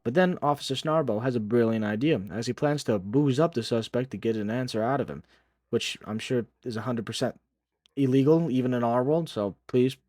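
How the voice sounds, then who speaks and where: A man's voice: mid-range voice, fairly quick, darkish tone, no room sound, studio quality. A man hosting a video, reading scripted recap commentary. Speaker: video host.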